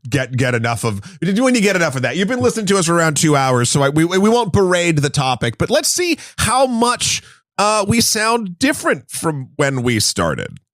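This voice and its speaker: A man's voice: on the high side, fairly bright tone, dry recording, studio quality. A man from the United States co-hosting a casual film podcast, emphatic and jokey, with easy swearing. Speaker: podcast co-host.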